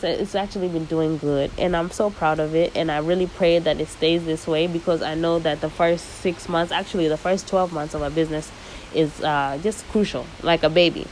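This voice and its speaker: Woman, young, podcast host, lower pitch, lively casual chat, Swahili slang mixed in, faint background hiss. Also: poor audio. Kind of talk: casual solo podcast monologue. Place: living in the United States.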